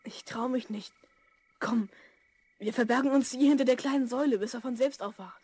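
A woman's voice: on the high side, brisk, darkish tone, breathy edge, no room sound. A female performer playing a friendly, practical twelve-year-old boy in a children's audio drama. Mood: candid, cautious.

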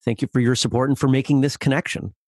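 A man hosting a martial arts interview podcast, upbeat and conversational, speeding up and slowing down on key words. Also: medium pitch, little pitch movement, darkish tone, dry recording, clean studio sound. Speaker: podcast host. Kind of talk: casual interview with ad reads.

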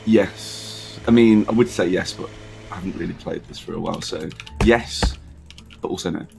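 A man's voice: low-pitched, moderate pace, gravelly, noisy background. A man chatting casually as he plays a game with a friend, jokey and self-deprecating. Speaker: co-player in a gaming video. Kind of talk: casual gameplay chat.

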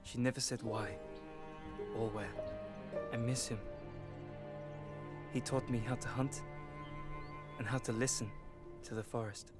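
An actor playing an earnest, caring young hunter in a video game cutscene, speaking gently. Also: male voice, mid-range voice, fairly even intonation, a little slow, long pauses, lightly acted. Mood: sad, reassuring. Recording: loud game music behind. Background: loud music.

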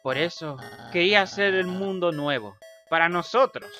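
A man voicing a vain, insecure young blue bird in a video game fandub, theatrical, halting and self-important. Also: high voice, bright, forceful tone, faint music behind. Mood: dejected, confessional.

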